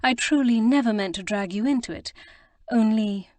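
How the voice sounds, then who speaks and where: Woman doing a flustered young lady, a bit higher and louder than her narrating, tripping over words, dry close mic. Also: normal speed, no echo, natural voice. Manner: breathless, stammering, eager to explain. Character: flustered, earnest young gentlewoman. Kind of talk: audiobook character reading.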